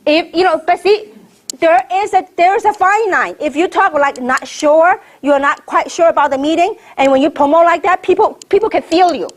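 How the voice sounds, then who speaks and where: A woman's voice: extremely high pitch, normal speed. A middle-aged woman from Hong Kong giving a motivational training talk, emphatic and repetitive, firing rhetorical questions at the audience. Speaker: network marketing leader.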